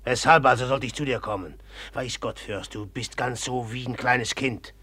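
A man's voice: medium pitch, normal speed, pushed and bright, husky. An actor playing a brooding, jealous young man in a 1950s radio play, speaking bluntly and with mockery. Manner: blunt, sullen, mocking. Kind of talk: 1950s radio drama acting.

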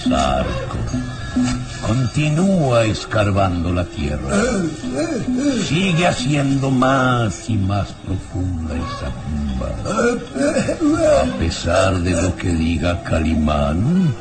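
A man's voice: low-pitched, big pitch swings, slow, over loud music, full character voice. An actor playing a scheming, ominous baron in a radio serial, drawing out his words with menace. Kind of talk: Spanish-language radio serial acting.

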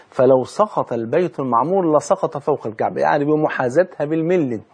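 Male preacher, Egyptian accent, husky mid-pitched voice, quick pace, emphatic religious storytelling. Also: a little mellow. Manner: emphatic, rhetorical questions and repetition for the listeners. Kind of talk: religious storytelling sermon mixing colloquial talk with formal recitation of scripture.

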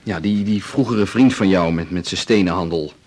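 An actor playing a practical, slightly irritable husband in a radio drama, talking in a terse, matter-of-fact way. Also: male voice, low voice, moderate pace, darkish tone. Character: practical husband, curt, slightly irritable, advising his wife. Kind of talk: 1980s radio drama acting.

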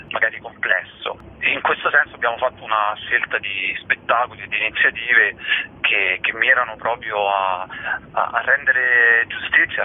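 A man being interviewed as a festival curator, explaining in a slightly halting way over a thin telephone line. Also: fairly low pitch.

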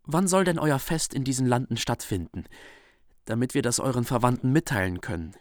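An actor playing a proud, courteous minstrel envoy delivering a formal message in a radio drama. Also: male voice, mid-range voice, lively intonation, fairly quick, no echo, studio quality, close to an everyday voice. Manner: formal, declamatory. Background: loud music.

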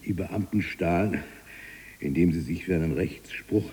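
A husky, very low-voiced man holds forth as a jailed freethinking writer in a radio drama. He is eloquent, taunting and obsessive, moving between murmur and declamation. The sound rings with long hall reverb. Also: normal speed, mellow. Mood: bitter lecturing. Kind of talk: theatrical radio drama monologue.

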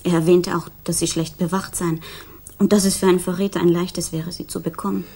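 An actress playing a grief-stricken, loyal young fiancée, soft, breathy and subdued, in a 1960s German radio crime drama. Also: female voice, lowish voice, flat delivery, brisk. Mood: troubled, subdued. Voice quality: soft, breathy, often near whisper. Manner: hesitant, subdued.

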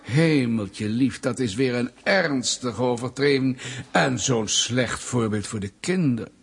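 An actor playing a smug, menacing state official in a Dutch radio play, mock-polite, pedantic and insinuating. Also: male voice, medium pitch, lively intonation, unhurried, breathy edge. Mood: sly, mock shocked.

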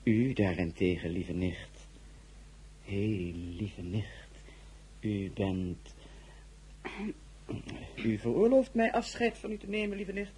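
An actor playing a courtly, flattering monarch in an audio drama, speaking in formal, gallant, drawn-out phrases with a husky voice. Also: male voice, on the low side, slight background noise, poor audio. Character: courtly male monarch, flattering and faintly suggestive.

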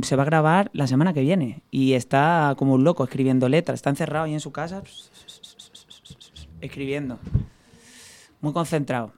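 A man's voice: on the high side, clear, resonant tone. A young man from Málaga with a faint Andalusian accent, chatting and laughing as a band member in a radio interview. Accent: Andalusian Spanish, faint. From Málaga, Spain. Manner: chatty, laughs often, warm banter. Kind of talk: casual radio interview chat.

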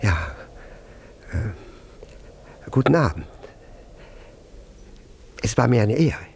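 An actor in a radio play playing a nervous, pompous senior civil servant who stammers and repeats himself in formal speech. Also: male voice, mid-range voice, sing-song, very slow, vocal fry, darkish tone, husky, exaggerated voice. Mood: awkwardly formal. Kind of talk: German radio play acting.

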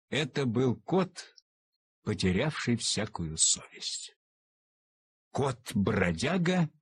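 Male narrator, husky voice, widely swinging intonation, theatrical storytelling, drawn-out emphasis, dry close studio sound. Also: medium pitch, no room sound. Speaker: storyteller, actor reading a short story. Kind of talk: expressive dramatic narration of a humorous literary story.

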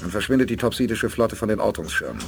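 An actor playing a commanding, principled leader of a space expedition in a science fiction radio play. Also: male voice, lowish voice, big pitch swings, fast talker, gravelly, noisy background. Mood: commanding, satisfied.

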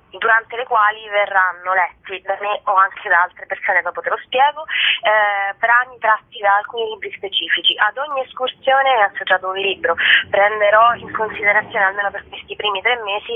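A woman hiking guide explaining her work as a guest in a radio interview. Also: mid-range voice. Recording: dull, narrow phone-like radio sound.

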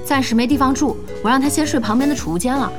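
An actress playing a feisty, bossy young internet cafe boss in an audio drama, scolding and teasing with lots of exclamation. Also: female voice, high-pitched, quick pace, music in the background, lightly acted. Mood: chatty. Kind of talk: Chinese audio drama acting.

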